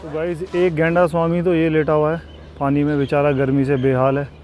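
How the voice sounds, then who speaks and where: A man's voice: on the high side, flat delivery, a little fast, a little mellow. A man in his thirties, a travel vlogger chatting casually to viewers while touring a zoo outdoors on a clip-on mic. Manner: chatty, addresses viewers directly. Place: outdoors at a city zoo and riding a motorbike. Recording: outdoor traffic noise behind.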